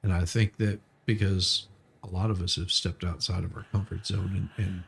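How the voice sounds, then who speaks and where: A man with a creaky, gravelly voice talks casually on a live stream. He thinks aloud in a conversational way, circling back over his words as he makes his point. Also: low-pitched, flat delivery, moderate pace, fairly bright tone. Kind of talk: casual chat.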